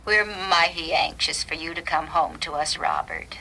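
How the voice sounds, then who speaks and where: A woman's voice: deep voice, moderate pace, vocal fry, sharp tone, full character voice. A woman playing a frail elderly mother, short of breath, tenderly pleading for her son. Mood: tender, proud. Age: older. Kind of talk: dramatized short story acting. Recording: noisy vintage radio drama recording.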